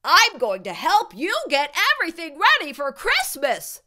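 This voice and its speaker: Woman voicing an eager, high-pitched young boy cat. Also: huge pitch swings, moderate pace, bright, forceful tone, heavily acted. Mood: proud, helpful. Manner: earnest, exclaiming, boastful. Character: eager, self-satisfied young male cartoon cat.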